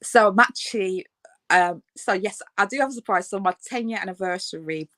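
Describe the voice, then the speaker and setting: A woman's voice: mid-range voice, a little fast, a bit bright, no room sound. A woman in her thirties with a Birmingham accent, a fashion designer chatting as an interview guest, laughing often and filling her talk with ums and restarts. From England. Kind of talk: casual interview.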